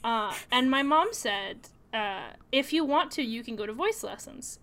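A woman's voice: a little high, animated, measured pace, fairly bright tone. A young woman co-hosting a podcast, telling stories in a chatty way with plenty of fillers. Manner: chatty, storytelling, with frequent fillers. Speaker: podcast co-host. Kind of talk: casual podcast chat.

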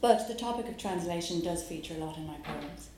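An Irish woman from Dublin, a poet who talks her audience through her work in a musing way, pausing on fillers, then reads her poems aloud at a live event. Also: on the low side, darkish tone, slight background noise. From Ireland. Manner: musing, with hesitant fillers between phrases. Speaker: poet giving a reading. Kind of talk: poetry reading with spoken introduction. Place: live reading venue. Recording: very echoey room.

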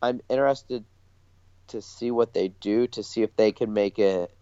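A man's voice: fairly low pitch, a little slow, warm tone, clear, resonant tone. A man co-hosting a podcast, chatting casually in tentative, stop-and-start phrases on a dull recording. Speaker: podcast co-host. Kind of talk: casual chat. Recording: dull, lo-fi, roomy echo.

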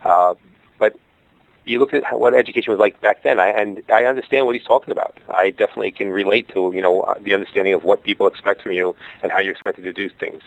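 A man's voice: lowish voice, fairly even intonation, fast talker, gravelly, no room sound, lo-fi sound. A middle-aged man with a Brooklyn accent, a school founder answering interview questions in a rambling way, with many fillers and restarts. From Brooklyn, New York. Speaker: guest, charter school founder.

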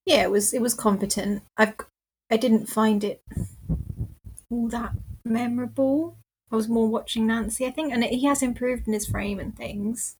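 A woman's voice: fairly high pitch, fairly even intonation, normal speed, darkish tone, husky, poor audio. A woman in casual conversation as a podcast panellist. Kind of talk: casual chat.

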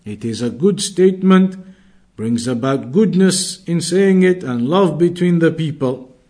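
Higher-voiced man teaching a religious lesson. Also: expressive, dark tone. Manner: deliberate, repetitive explaining. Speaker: Islamic teacher. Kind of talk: religious lesson, reading Arabic then explaining.

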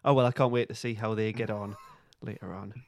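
A man's voice: fairly low pitch, steady tone, a little fast, no echo, studio quality. A man hosting a podcast, playfully reading a comic script aloud and breaking off to comment. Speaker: podcast host.

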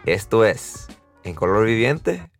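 A man's voice: on the low side, sing-song, takes it slowly, over loud music. A young man with a mixed Dominican and American Spanish accent, hosting a podcast casually, laughing often and restarting words. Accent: Dominican and American-tinged Spanish. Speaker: podcast host. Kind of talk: casual interview and outro.

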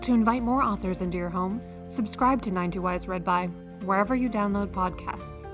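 A woman's voice: mid-range voice, moderate pace. A woman delivers scripted podcast narration as an announcer. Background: music under the voice.

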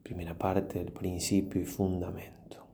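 Male priest, low and mellow, reverent and unhurried, for guided prayer meditation. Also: monotone, creaky, a little hiss or hum. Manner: slow, reverent, with long pauses.

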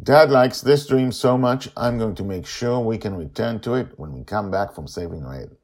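A man's voice: lowish voice, lively intonation, normal speed, darkish tone, pitched above the performer's own voice. A man voicing an eager, bold nine-year-old girl who captains a dream pirate ship in a storybook tale. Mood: cheerful, confident.

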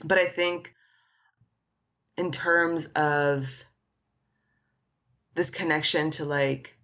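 A woman's voice: low voice, steady tone, drawn-out words, fairly bright tone, highs cut off. A woman artist whose first language is Arabic, answering interview questions in an earnest, reflective way, with frequent "like" fillers. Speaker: artist guest.

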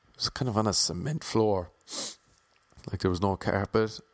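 A man's voice: on the low side, moderate pace, no room sound. A young man with an Irish accent telling wry, self-mocking stories about his auditions in a casual monologue. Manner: wry, self-mocking, playfully imitating others.

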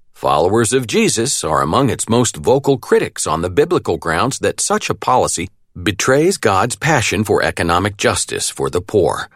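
A man reading a nonfiction audiobook as narrator, with a measured, articulate delivery. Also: lowish voice, dry recording, studio quality.

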